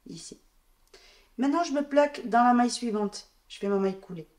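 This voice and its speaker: A woman with a head cold gives a calm step-by-step crochet lesson, counting her stitches aloud as she works at a table. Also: fairly high pitch, fairly quick. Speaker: crochet tutorial host. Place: indoors at a wooden table.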